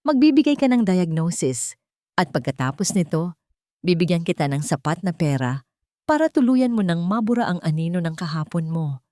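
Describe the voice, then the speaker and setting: A woman's voice: fairly low pitch, very animated, normal speed, mellow, no echo, clean studio sound. A woman voicing a cold, scheming young woman in a dramatic audio story, icy, calculating and menacing. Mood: cold, coaxing menace. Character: cold, scheming young woman blackmailing her former lover.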